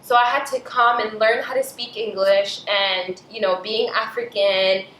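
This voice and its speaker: A woman's voice: mid-range voice, steady tone, measured pace, a bit bright. A young woman from Cameroon, a native French speaker, chatting casually and assertively as she trades questions and answers on a vlog. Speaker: vlog host. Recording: roomy echo, clear background noise.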